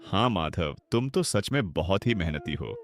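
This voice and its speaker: A man's voice: low voice, very animated, moderate pace. A man voicing a generous older village headman in a cartoon, talking in a confident, benevolent way. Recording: background music under voice.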